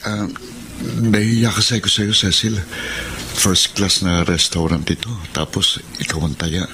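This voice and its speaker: Shy young Filipino sweetheart, modest, hesitant, on the deep side, radio drama, background noise. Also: male voice, fairly even intonation, a little slow. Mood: embarrassed. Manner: bashful, hesitant. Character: shy, modest young varsity athlete of humble means. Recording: clear background noise and ambience.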